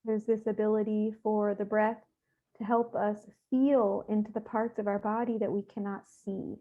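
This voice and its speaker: A woman leading a guided meditation, speaking gently and without hurry, with soothing instructions and pauses. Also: mid-range voice, level tone. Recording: thin, phone-like narrow-band audio.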